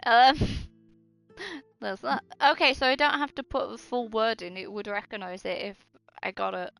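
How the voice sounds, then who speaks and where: A woman's voice: fairly high pitch, normal speed, vocal fry, a bit bright, soft background music. A woman chatting casually and informally as she streams her gameplay, swearing freely. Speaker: game streamer. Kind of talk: casual live gameplay chat.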